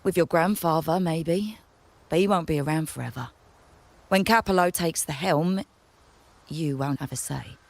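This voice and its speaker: Blunt, distrustful trader. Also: female voice, sits low, moderate pace, creaky, pauses often. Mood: skeptical. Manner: curt, blunt, no-nonsense. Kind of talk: scripted video game voice acting.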